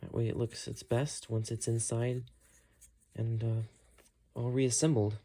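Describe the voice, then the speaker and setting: A man with an average-pitched voice hosts a hands-on tutorial. He talks casually and thinks aloud as he works, explaining each step in an unscripted way. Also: level tone, unhurried, warm tone. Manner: thinks aloud while working. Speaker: host of a repair tutorial.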